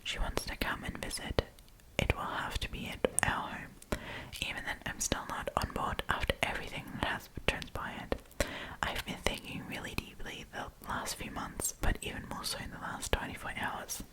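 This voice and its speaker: A woman hosting an ASMR storytime, reading online stories aloud in a soft, breathy whisper. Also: normal speed, rough recording. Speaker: host of an ASMR storytime channel.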